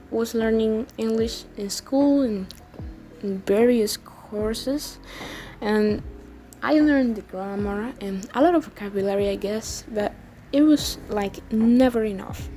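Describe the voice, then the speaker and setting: A young woman of eighteen with a Dominican Spanish accent speaks to her viewers in a casual, personal way. Her voice is a little high and she talks slowly, often searching for the next word as a native Spanish speaker. Music plays behind her in an amateur recording. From Dominican Republic. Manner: halting, searching for words. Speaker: language-learning YouTuber.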